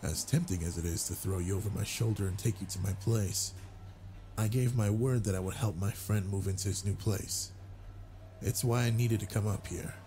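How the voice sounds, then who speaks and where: Gravelly, low werewolf alpha, played by a man. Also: mellow, slight background noise, natural voice. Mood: playful, flirtatious. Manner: slow, teasing, flirtatious. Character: gruff, flirtatious male werewolf alpha, confident and tender. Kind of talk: intimate roleplay audio drama, spoken to the listener.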